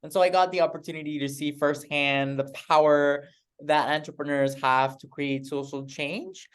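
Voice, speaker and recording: A man's voice: a little high, flat delivery, normal speed, fairly bright tone, clear, resonant tone. A man from Mexico introducing himself on a panel, upbeat and repeating words as he goes.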